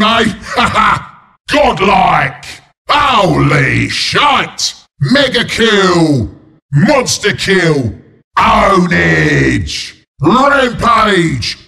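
A mean, cocky porcupine brawler announcing a video game in a British accent. The voice is gravelly and pitched high for a male, swinging widely up and down. He barks it out in a blunt, taunting, rowdy way, with a hard, forceful edge. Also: lively intonation, very slow, heavily acted. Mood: taunting, triumphant. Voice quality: gravelly, raspy. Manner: taunting, rowdy, blunt, mock-sympathetic asides.

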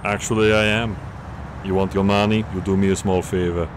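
Action-hero cop played by a man: very low voice, unflappable wisecracker, radio play over noisy street sound. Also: a little fast, natural voice. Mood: nonchalant. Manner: deadpan, nonchalant. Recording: noisy city and traffic background.

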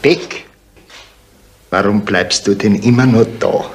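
A man's voice: lowish voice, slow. A man playing a drunken, bullying village innkeeper in a radio crime drama, barking belligerently. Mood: menacing. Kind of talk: 1970s German radio crime drama acting. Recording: echoey room with faint noise.

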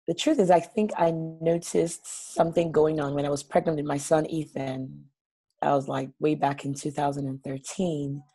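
Low, slow, mellow-voiced woman guest. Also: breathy edge. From Nigeria. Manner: open, chatty storytelling with small laughs. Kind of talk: casual video-call interview. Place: home living room. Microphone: laptop webcam on a video call.

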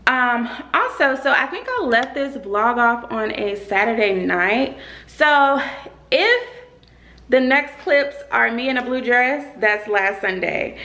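A woman's voice: fairly high pitch, lively intonation, takes it slowly, sharp tone. A young woman in her twenties chatting to a handheld camera in her apartment, rambling with lots of ums and repeats. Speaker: lifestyle vlogger. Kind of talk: casual chat to camera. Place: apartment living room and kitchen, indoors. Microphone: handheld camera on a grip, close.

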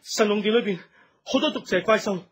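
A man's voice: high voice, a little fast, husky. A man playing a devoted, impassioned young thief in a radio drama, speaking fervently and humbly with open emotion. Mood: urgent. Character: devoted, impassioned young male thief, pleading for his beloved. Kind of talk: Cantonese radio drama acting.

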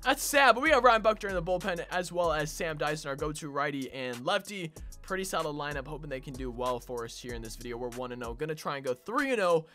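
Bright-voiced young man with a sore throat. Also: on the high side, fast talker, hardly any pauses, soft background music. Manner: excitable, sing-song when hyped. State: sore throat, losing his voice. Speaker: gaming channel host. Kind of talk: live gameplay commentary. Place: home gaming room.

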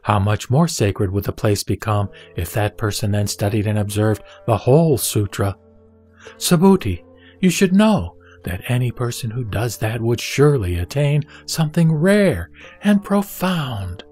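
A man's voice: mid-range voice, expressive, a little slow, darkish tone, faint music behind. A man playing the Buddha in a scripture audio drama, a serene teacher speaking formally and instructively. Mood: solemn questioning. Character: the Buddha, serene authoritative male teacher addressing his disciple.

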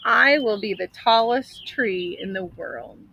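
A woman's voice: medium pitch, slow pace, gravelly, pushed and bright, husky, full character voice, low-quality recording. A woman voicing a devout young female tree in a storybook, earnest and yearning. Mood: determined, yearning.

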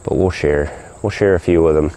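A middle-aged man with a very low voice gives a relaxed, rambling tour of his backyard garden. He talks into a handheld camera outdoors, with crickets chirping behind him. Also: normal speed, low-quality recording. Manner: rambling, easygoing, many fillers and restarts. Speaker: gardening vlogger. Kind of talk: casual walking garden tour. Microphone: handheld camera mic. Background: crickets and insects.

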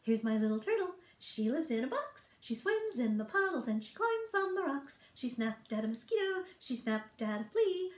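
A middle-aged woman leading a toddler storytime with read-alouds, songs and rhymes in a playful, encouraging, singsong way. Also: sits high. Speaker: children's storytime librarian host. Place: small book-lined office. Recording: roomy indoor echo.

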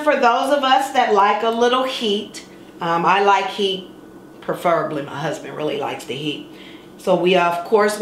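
A woman's voice: fairly low pitch, slight background noise. A middle-aged woman hosting a home cooking show, chatting casually and playfully as she demonstrates a recipe. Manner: chatty and playful, sings words for fun. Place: home kitchen, indoors. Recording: echoey kitchen with clattering dishes.

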